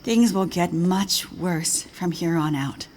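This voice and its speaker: Grave, low-voiced woman scientist. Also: slow, darkish tone. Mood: grave, foreboding. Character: grave older female scientist delivering dire warnings. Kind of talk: video game cutscene acting.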